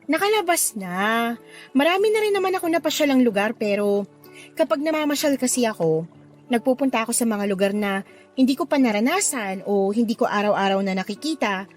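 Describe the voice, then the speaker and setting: Babaeng gumaganap na masayahing dalaga, mataas ang boses, may musika. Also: female voice, a little high, animated, fairly quick, natural voice. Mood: cheerful, friendly. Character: cheerful, friendly young woman from a beach province. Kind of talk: Tagalog radio drama acting. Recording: music under the voice.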